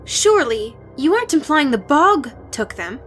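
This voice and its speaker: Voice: high-pitched, big pitch swings, moderate pace, a bit bright, soft background music, voice pushed up. A narrator voicing an earnest teenage village girl. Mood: defensive. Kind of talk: audiobook character voice.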